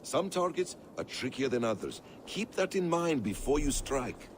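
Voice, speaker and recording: An actor playing a wise, measured mentor of a secret assassin brotherhood, speaking formally as he instructs in a video game. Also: medium pitch, very animated, a little hiss or hum, lightly acted. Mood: calm, guarded. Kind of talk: video game cutscene acting.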